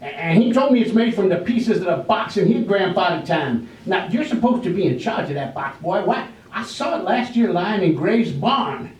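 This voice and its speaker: Man acting cantankerous elderly villager, stage play, high-pitched, folksy, stammering, indignant, distant roomy sound. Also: very animated, moderate pace, hardly any pauses. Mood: scornful. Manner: indignant, repetitive scolding. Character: crotchety 77-year-old village man clinging to old ways. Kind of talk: amateur readers' theater stage acting. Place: library room during a staged reading. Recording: roomy echo, distant microphone.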